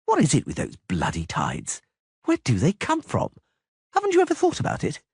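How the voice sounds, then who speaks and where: Brief: a man playing a restless gossiping bard, higher and jumpy. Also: husky, no room sound, studio quality, exaggerated voice. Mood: chatty. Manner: lively, quick and mocking. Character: chatty excitable male bard.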